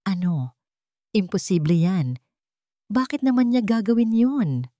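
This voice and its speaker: A woman's voice: medium pitch, big pitch swings, measured pace, mellow, no echo. Wealthy father in an audio melodrama, read by a woman: weary, cold, curtly sceptical, very dry sound. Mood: incredulous.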